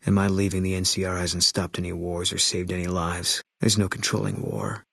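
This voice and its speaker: An actor voicing a grim, haunted ex-soldier sniper in a video game, talking in terse, clipped lines with a flat, brooding delivery. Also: male voice, sits low, a little fast, gravelly, no room sound, clean studio sound, full character voice. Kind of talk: video game character dialogue.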